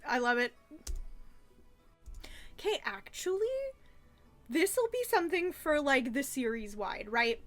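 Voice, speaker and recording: High-voiced woman chatting over music. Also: a bit bright. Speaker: reviewer reacting to a childhood cartoon. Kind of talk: casual rambling commentary.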